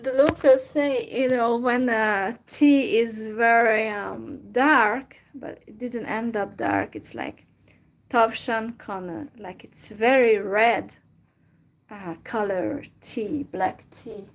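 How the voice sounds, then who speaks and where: A young woman from Central Europe chatting casually to her viewers in a halting way, with many fillers and restarts. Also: a little high, animated. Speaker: vlogger. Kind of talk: casual solo chat to viewers.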